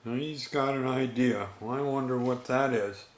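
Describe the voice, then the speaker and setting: A man telling a bedtime story in an unhurried, expressive way, with chatty asides to young listeners. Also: medium pitch. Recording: echoey room.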